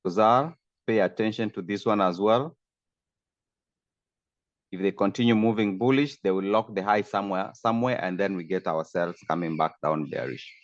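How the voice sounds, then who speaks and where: A man's voice: fairly low pitch, normal speed, lo-fi sound. A man from Kenya, a trading coach, steadily explaining charts in a screen-share tutorial over a video call.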